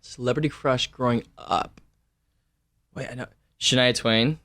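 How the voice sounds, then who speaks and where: Brief: man in his thirties, interview guest, animated storyteller, quick laughs. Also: mid-range voice. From United States. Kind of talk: casual interview chat.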